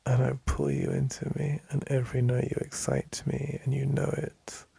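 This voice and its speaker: A man with an English accent playing an affectionate, teasing boyfriend in an intimate ASMR roleplay, murmuring in a deep, breathy voice. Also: little pitch movement, warm tone, no echo, exaggerated voice. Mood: adoring, intimate. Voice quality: deep, breathy, husky, gravelly. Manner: slow, murmuring, with sighs and lingering pauses.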